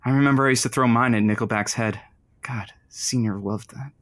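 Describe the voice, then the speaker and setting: An actor playing an insecure, awkward radio host in an audio comedy, hesitant and easily exasperated. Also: male voice, mid-range voice, fairly even intonation, brisk, a little hiss or hum, lightly acted. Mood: exasperated, unsure. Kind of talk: audio comedy drama acting.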